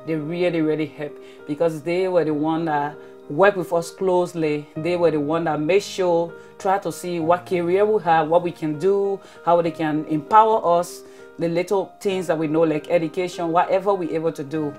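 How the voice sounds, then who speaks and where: A woman's voice: on the low side, fairly even intonation, moderate pace, a little mellow, soft background music. A woman giving earnest interview testimony, emphatic and repeating phrases for force. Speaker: interviewee, former refugee.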